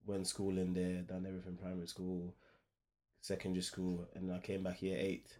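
Low-voiced man raised in Ghana, reflective. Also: moderate pace. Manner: reflective, steady storytelling. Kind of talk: casual group discussion.

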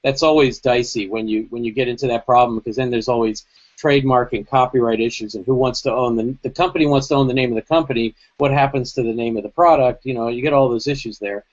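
A man's voice: mid-range voice, steady tone, fast talker. A man co-hosting a casual tech podcast, talking in long rambling thoughts full of asides over a video call. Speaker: podcast co-host. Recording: dull lo-fi video call audio.

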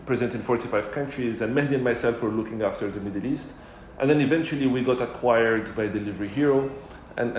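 A man's voice: lowish voice, roomy sound, slight background noise. A man from Morocco, a startup co-founder, telling his career story fluently as a podcast guest. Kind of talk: casual interview.

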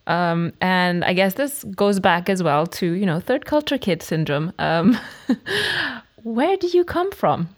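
Upbeat, fast-talking woman hosting a podcast interview. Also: medium pitch, sing-song. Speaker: podcast host.

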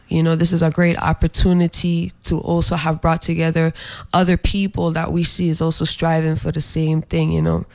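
Man, gravelly and flat, halting guest spot with lots of ums, dry sound. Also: a little high, dry recording. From Saint Croix, US Virgin Islands. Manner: halting, many filler pauses. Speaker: guest, fashion brand designer. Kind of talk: interview promoting an event.

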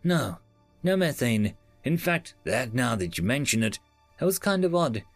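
A man's voice: mid-range voice, sing-song, measured pace, no echo, faint music behind. A man voicing a nervous young male technician who explains things earnestly.

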